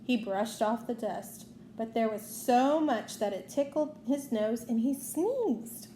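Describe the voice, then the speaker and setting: Female storybook narrator for children, unhurried and high-pitched, recorded in a roomy space. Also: dark tone. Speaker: storybook reader. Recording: roomy echo, faint background noise.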